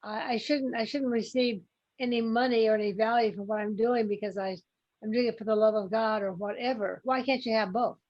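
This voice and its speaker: Woman, group facilitator, reflective, encouraging, fairly high pitch, slightly muffled lo-fi sound. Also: steady tone, a little fast. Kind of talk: reflective spiritual group talk. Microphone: video call. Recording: slightly dull call audio.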